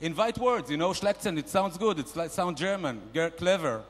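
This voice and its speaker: A man's voice: animated, moderate pace. A man playing a brash, boastful male investor nicknamed a shark, barking bossy mock-grand business advice at a conference panel. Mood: pushy. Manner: loud, bossy, mock-grand sales pitching. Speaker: comedian performing at a panel. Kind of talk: stand-up character bit. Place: large conference hall. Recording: reverberant conference hall.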